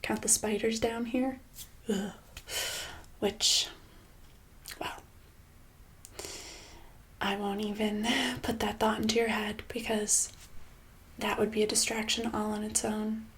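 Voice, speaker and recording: A woman playing a controlling, passive-aggressive boss in a soft-spoken ASMR roleplay, breathy and slipping into whispers, condescending and casually menacing. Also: medium pitch, flat delivery, slow pace, long pauses, lightly acted. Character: controlling, passive-aggressive female boss holding an employee hostage.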